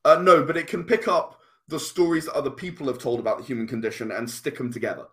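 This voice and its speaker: A man's voice: medium pitch, steady tone, fast talker. A man in his late thirties, chatting casually as a podcast guest in an earnest, emphatic way, repeating phrases for stress. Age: late 30s. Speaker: podcast guest, comic and game creator. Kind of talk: casual conversational interview.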